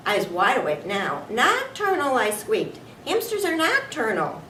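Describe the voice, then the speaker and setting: A woman voicing a small, excitable, nervous male hamster who tells his story in a chatty, wide-eyed way. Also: on the high side, measured pace, heavily acted. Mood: perky. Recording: echoey room, distant mic, background noise.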